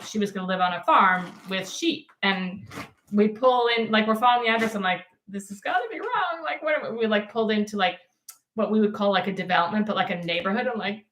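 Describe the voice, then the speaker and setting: A woman's voice: medium pitch, a bit bright, slight background noise. Chatty woman in an echoey room. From United States. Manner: chatty, rambling with many ums and restarts. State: losing her voice. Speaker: podcast co-host. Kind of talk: casual chat.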